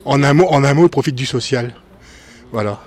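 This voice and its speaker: A man from northern France, a former cook, giving frank opinions slowly with hesitations. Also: mid-range voice, a little mellow. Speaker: interviewed resident, former cook. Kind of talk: street interview.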